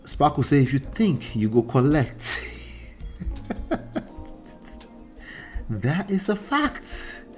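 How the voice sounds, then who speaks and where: A man with a Nigerian accent whose first language is the Akure dialect, hosting a relationship livestream, reading out viewer comments and repeating points with animated emphasis. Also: a little high, extremely slow, pauses often, soft background music. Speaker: relationship coach host.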